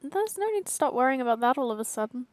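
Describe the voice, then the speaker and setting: A woman comments casually as she plays a video game. Her voice is high, and faint game sound sits behind her short reactions. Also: very animated, fast, a little hiss or hum. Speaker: game streamer.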